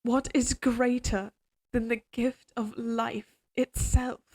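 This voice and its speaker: A woman voicing an obsessively devoted, quietly menacing evil queen in an audio drama, speaking in a formal, measured, imperious way. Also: fairly high pitch, level tone. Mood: controlled menace. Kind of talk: scripted dramatic audio roleplay.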